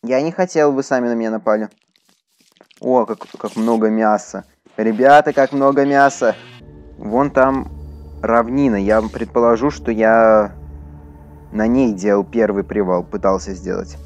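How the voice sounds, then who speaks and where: A man's voice: lowish voice, fairly even intonation, unhurried, darkish tone, muffled recording, faint music behind. A man doing casual, chatty let's play commentary, talking to his viewers as he plays. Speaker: gaming YouTuber.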